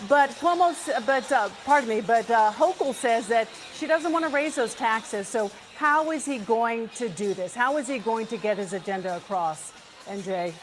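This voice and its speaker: A woman's voice: a little high, moderate pace, soft background music, poor audio. A woman anchoring live election coverage on television, talking through the news and putting questions.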